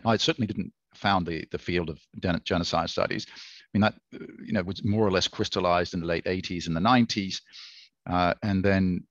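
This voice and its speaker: A very low-voiced Australian man speaks in a thoughtful academic conversation, pausing on fillers and restarting phrases as he works through ideas. The recording is dry and slightly dull, like a lo-fi online call. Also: fairly bright tone, no room sound. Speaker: author and historian guest.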